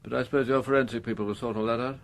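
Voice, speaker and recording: An actor playing a fussy upper-class English gentleman in a radio crime drama, languid, grumbling and well-mannered. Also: medium pitch, fairly even intonation, rapid-fire, gravelly, natural voice. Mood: unconcerned.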